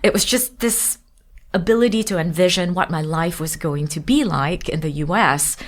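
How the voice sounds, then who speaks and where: Middle-aged female executive from Singapore, podcast guest, slightly low voice, deliberate phrasing. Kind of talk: reflective interview conversation.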